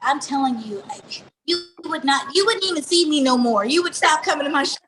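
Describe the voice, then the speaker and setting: A woman singer, born in New Jersey and raised in Houston, chatting casually in an interview with quick slangy asides. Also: sits high, expressive, background noise. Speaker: R&B singer, guest.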